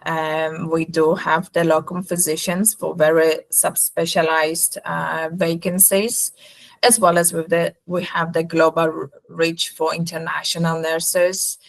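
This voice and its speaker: Low-pitched woman with a Polish accent. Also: flat delivery, unhurried, creaky. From Poland. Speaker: recruitment agency representative presenting. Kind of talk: webinar sales presentation.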